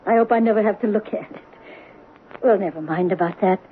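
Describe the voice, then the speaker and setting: An actress playing a kind, widowed mother in an old radio drama, gentle in manner with nervous little laughs. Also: medium pitch, fairly quick, narrow, tinny audio, lightly acted. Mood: gentle, anxious. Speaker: Celia, a mother. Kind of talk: 1970s radio drama acting. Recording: old radio broadcast, phone-thin with hiss.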